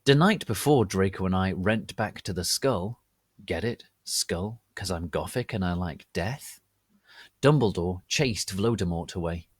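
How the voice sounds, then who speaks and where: A man giving a theatrical, deliberate dramatic reading of a story as its narrator. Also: low-pitched, expressive, breathy edge, dry recording, studio quality. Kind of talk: dramatic reading of fan fiction.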